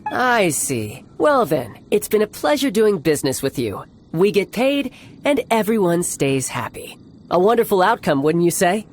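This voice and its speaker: A man playing a cocky young warrior commander and doting big brother in a video game, with a theatrical, smooth-talking, authoritative manner. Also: high-pitched, sing-song, normal speed, slight background noise. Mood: smugly polite. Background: background music.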